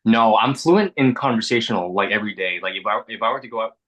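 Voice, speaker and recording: Young adult man, first language Mandarin, very low bright voice; earnest interviewee, reflective and halting. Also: lively intonation. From Canada. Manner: reflective, earnest, frequent restarts and repeated words. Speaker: actor being interviewed. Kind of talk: casual interview chat.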